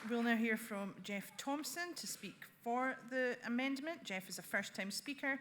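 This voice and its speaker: A woman chairing a conference session, announcing speakers briskly and formally. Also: fairly high pitch. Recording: loud crowd and noise in hall.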